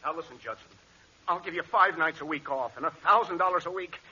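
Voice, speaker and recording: An actor playing a millionaire who pleads and bargains desperately in a 1940s radio comedy. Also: male voice, a little high, fast talker, bright, forceful tone, husky, heavily acted. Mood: pleading. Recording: muffled old radio recording.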